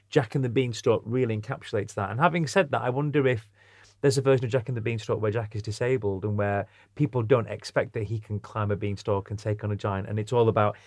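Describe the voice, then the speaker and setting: A man's voice: medium pitch, no echo, studio quality. A man with a British accent chatting thoughtfully as an interview guest, an actor and writer. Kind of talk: casual, thoughtful interview chat.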